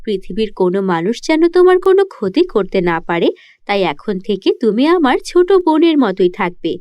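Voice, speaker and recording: A woman's voice: medium pitch, very animated, normal speed, dry recording, muffled recording, voice pushed down, lightly acted. A woman voicing a protective, stern forest fairy in a children's cartoon story, commanding yet caring.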